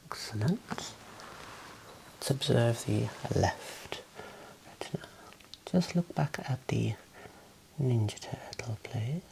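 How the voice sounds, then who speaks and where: A man in his thirties whispering softly and breathily in a calm, gentle ASMR roleplay as an examiner giving instructions, close to the mic in a small room. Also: mid-range voice, slow pace, creaky, pauses often, poor audio. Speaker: ASMR host playing an eye examiner.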